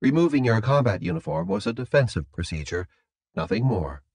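A man voicing a calm, courteous, businesslike captor in an audiobook, speaking in a polite, measured, formal way. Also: medium pitch, creaky, breathy edge, dry recording, studio quality, voice pushed up, full character voice. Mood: politely detached. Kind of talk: audiobook character dialogue.

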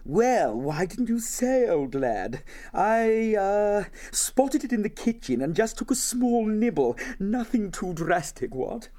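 A man's voice: sits high, big pitch swings, slow, few pauses, warm tone, pitched above the performer's own voice, heavily acted. A man voicing a greedy young male hare who blusters in a plummy, old-fashioned manner. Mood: sheepish fibbing.

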